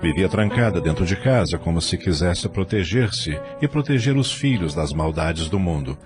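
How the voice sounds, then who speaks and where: Deep-voiced Brazilian male narrator. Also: animated, moderate pace, music in the background. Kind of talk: dramatic scripted narration of an audio drama.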